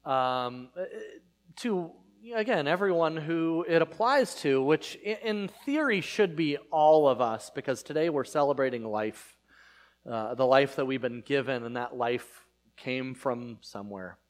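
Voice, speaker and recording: A male pastor in his thirties whose first language is Spanish talks to his church in an easy, meandering way. He fills pauses with ums and uhs and restarts his sentences. His voice sits at a middle pitch. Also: lively intonation. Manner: conversational, rambling with many fillers and restarts. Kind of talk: casual sermon.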